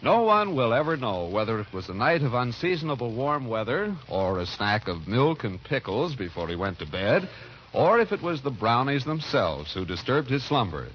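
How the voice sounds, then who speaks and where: A man's voice: medium pitch, big pitch swings, normal speed. A man announcing and narrating a 1940s radio show from a script. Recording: dull vintage radio recording with music.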